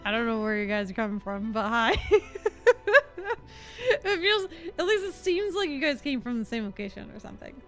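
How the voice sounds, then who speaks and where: A woman livestreamer chatting casually with viewers over a game, giggly and quick to laugh. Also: a little high, big pitch swings, faint music behind. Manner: giggly, bubbly, laughs often. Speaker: livestreamer host. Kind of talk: casual chat with live viewers while gaming.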